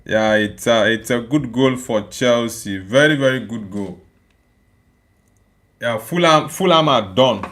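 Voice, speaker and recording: Nigerian man slowly reacting to live football. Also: mid-range voice, fairly bright tone. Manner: casual, repeats phrases, chats with viewers. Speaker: watchalong streamer. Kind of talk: live football watchalong, reading chat.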